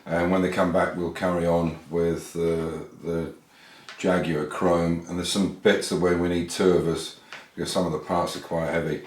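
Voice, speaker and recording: A man's voice: sits low, level tone, normal speed, echoey room. An English man hosting a casual car restoration vlog, rambling through his workshop with restarts and fillers. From England. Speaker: classic car restorer and channel host. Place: garage workshop.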